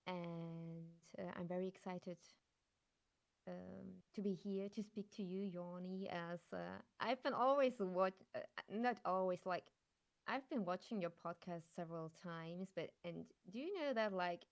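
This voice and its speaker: A woman from Germany, a streamer guesting on a podcast, chatting in friendly, slightly halting English. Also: fairly low pitch. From born in Poland, grew up in Germany. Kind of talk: casual interview chat.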